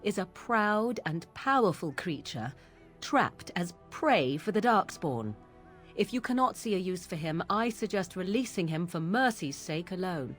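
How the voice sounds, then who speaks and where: A woman's voice: fairly low pitch, big pitch swings, a little slow, faint music behind. A woman voicing a haughty, scornful witch of the wilds, with imperious and archaic formal phrasing, in a fantasy game. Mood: cool, imperious.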